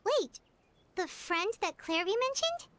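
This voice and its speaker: A woman voicing a tiny childlike companion creature in a video game, squeaky, earnest and full of questions. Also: sing-song, measured pace, bright, forceful tone, heavily acted. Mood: concerned. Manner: earnest, inquisitive. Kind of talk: cartoonish video game dialogue. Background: music behind the voice.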